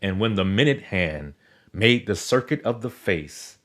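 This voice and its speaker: A man's voice: fairly low pitch, expressive, measured pace. A man narrating a scripted gothic horror tale in a theatrical, literary storytelling manner. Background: background music.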